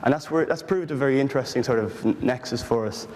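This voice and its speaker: Irish man, panel speaker, earnest, halting restarts, mid pitch, long hall reverb. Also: darkish tone. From Ireland. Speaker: panel speaker, political researcher. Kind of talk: panel discussion.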